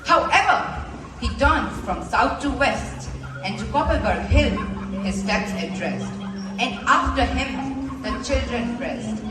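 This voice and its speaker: A woman's voice: on the high side, animated, slow pace, noisy background. Young woman, declamatory verse narration, school play, far from the mic, echoey. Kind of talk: dramatic verse recitation. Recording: distant echoey stage with loud music.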